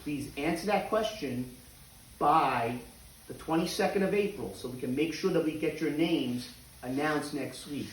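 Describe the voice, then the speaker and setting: A man speaking as a teacher to young pupils, in deliberate, clearly spaced phrases for children. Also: fairly high pitch, echoey room, far from the mic, slight background noise, poor audio. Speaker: teacher reading aloud to students. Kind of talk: children's read-aloud and announcements to pupils.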